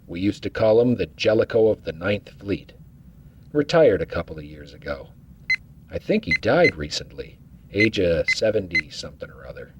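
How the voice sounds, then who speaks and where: A man playing a steady acting starship captain who gives calm orders with dry humor in a fan audio drama. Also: low voice, moderate pace, noisy background.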